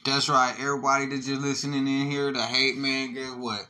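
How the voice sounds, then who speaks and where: A man's voice: mid-range voice, little pitch movement, fairly quick, bright, forceful tone. A man co-hosting a casual podcast, answering with blunt, teasing, profane comebacks. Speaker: podcast co-host.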